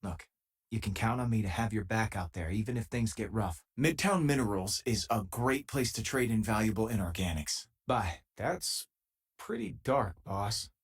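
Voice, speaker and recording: An actor playing an easygoing, loyal and wry space miner companion in a video game, with a husky, conversational delivery. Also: male voice, lowish voice, animated, normal speed. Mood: earnest, wry.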